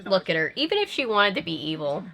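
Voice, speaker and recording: A woman's voice: on the low side, big pitch swings, fast, gravelly. Woman giving wry, casual reactions over music. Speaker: video host reacting to a film.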